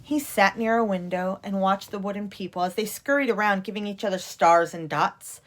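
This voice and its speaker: A woman's voice: mid-range voice, normal speed, rough recording. A woman reading a children's storybook aloud expressively, like a teacher at story time.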